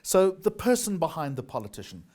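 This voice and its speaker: A South African man hosting and moderating a political debate, brisk and welcoming. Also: on the high side. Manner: brisk, warm, animated intonation. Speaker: debate host.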